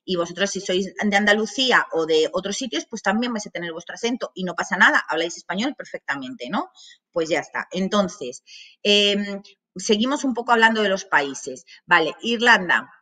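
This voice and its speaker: A woman's voice: mid-range voice, expressive, moderate pace, bright, forceful tone. A woman in her thirties from Madrid with a Madrid Spanish accent, giving an online masterclass in a chatty way full of asides and fillers, recorded at her office desk. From Madrid, Spain. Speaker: language academy director. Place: small office with banners.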